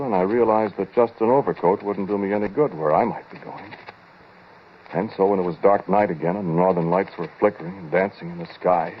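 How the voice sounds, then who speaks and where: An actor playing a haunted, obsessive scientist who narrates in halting, dramatic 1940s radio horror style, with deliberate pauses. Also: male voice, deep voice, a little hiss or hum. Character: haunted, obsessive male scientist narrating his strange experiment. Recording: dull vintage 1940s radio recording, loud music.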